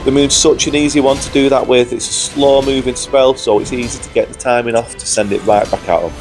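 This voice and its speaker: A young man talks through his game and his gear in a chatty, upbeat way. A cold leaves his mid-pitched voice slightly croaky and hoarse. Faint music plays underneath. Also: steady tone, brisk. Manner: upbeat, chatty, says "guys" often. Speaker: gaming YouTuber. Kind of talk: gameplay commentary.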